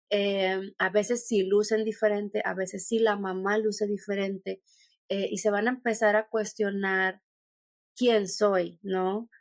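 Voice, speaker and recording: A woman's voice: medium pitch, vocal fry, no room sound. A Mexican woman with a Mexican accent, a podcast guest talking thoughtfully and meandering, with many restarts. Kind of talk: conversational podcast interview.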